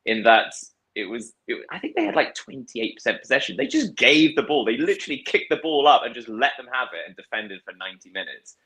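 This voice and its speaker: A man's voice: mid-range voice, sing-song, fairly quick, hardly any pauses, bright, forceful tone, rough recording. A man discussing football casually, thoughtful, with frequent fillers and restarts. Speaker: podcast guest.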